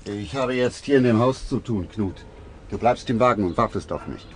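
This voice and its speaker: A man's voice: on the low side, moderate pace, noisy background, natural voice. A man playing a courteous, inquisitive private detective in a German radio play, talking politely with small hesitations and an amused touch. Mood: polite, probing.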